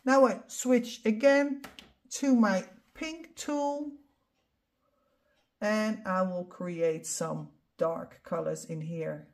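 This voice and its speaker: A middle-aged woman with a Dutch accent, not a native English speaker, calmly explaining each step of a painting tutorial as she works. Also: mid-range voice, very slow. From Netherlands. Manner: calm step-by-step explaining while demonstrating. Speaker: art instructor.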